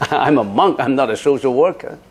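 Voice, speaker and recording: A man's voice: mid-range voice, animated, measured pace, husky. A middle-aged man who speaks Chinese as his first language, a Buddhist monk answering audience questions in a casual, chatty way, often repeating words and laughing. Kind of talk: Dharma question-and-answer talk.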